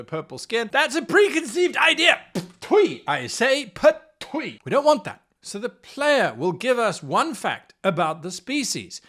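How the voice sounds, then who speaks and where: Guy doing tabletop rpg advice, super animated, bright voice, hammy and sarcastic. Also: sits high. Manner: theatrical, playful, sarcastic asides. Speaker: game master presenting an advice show. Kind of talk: animated explainer on tabletop role-playing games.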